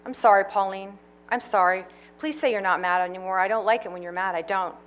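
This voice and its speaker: A woman's voice: medium pitch, fairly quick, a bit bright, natural voice. A woman voicing a childlike grown man who whines and pleads. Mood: pleading. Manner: whiny, pleading.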